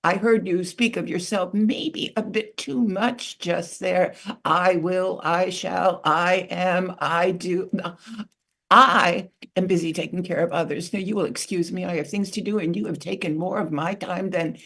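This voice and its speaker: A woman voicing a busy, no-nonsense female beaver who lectures in a brisk, dismissive way. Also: on the low side, steady tone, fairly bright tone. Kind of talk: storytelling.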